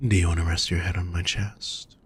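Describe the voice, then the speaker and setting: A man playing a gentle, protective demon lover in an intimate roleplay audio, soft, husky and reassuring, speaking straight to the listener. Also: sits low, level tone, slight background noise, close to an everyday voice. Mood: soothing, tender. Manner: soft, reassuring, unhurried coaxing. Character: gentle, teasing, protective male demon lover at a fantasy brothel.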